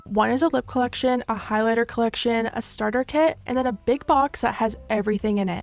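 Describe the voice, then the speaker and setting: A woman delivering a scripted commentary voice-over, even and opinionated. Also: a little high. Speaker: drama channel commentator.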